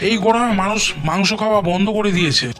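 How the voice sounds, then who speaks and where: Man voicing a high-voiced, plain-spoken tradesman. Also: quick pace, a bit bright. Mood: matter-of-fact. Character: plain-spoken male meat seller or doctor. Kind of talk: animated moral-tale cartoon dialogue. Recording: music behind the voice.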